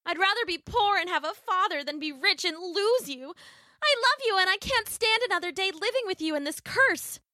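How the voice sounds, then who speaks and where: A woman's voice: very high voice, animated, moderate pace, pushed and bright, no echo, clean studio sound, pitched above the performer's own voice, heavily acted. A woman voicing a loving young princess in a story, pleading with earnest, dramatic delivery. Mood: pleading, upset.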